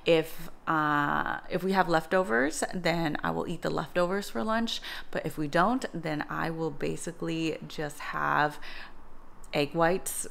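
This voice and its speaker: A woman's voice: low-pitched, a little slow, creaky, fairly bright tone. A woman in her thirties explaining home cooking in an informal, conversational vlog style. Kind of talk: casual vlog explanation to camera.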